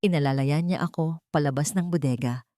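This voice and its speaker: A woman's voice: sits low, a little fast, dark tone, dry recording, clean studio sound. A woman reading a scripted, dramatic first-person story as an audio narrator.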